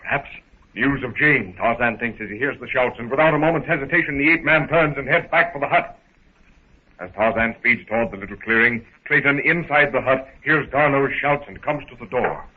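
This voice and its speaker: A man's voice: medium pitch, a little fast, slightly rough. A man giving scripted, dramatic narration for a 1930s adventure radio serial in a theatrical storytelling manner. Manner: theatrical, present-tense storytelling. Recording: scratchy, phone-like 1930s radio recording.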